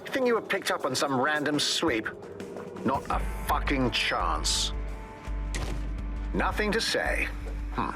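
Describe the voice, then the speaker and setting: A gloating game villain, a hired-army interrogator with swinging, animated pitch. He taunts and threatens over prominent music. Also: slow, pauses often, exaggerated voice. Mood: menacing. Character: menacing, gloating male private-army interrogator. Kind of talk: dramatic game cutscene acting.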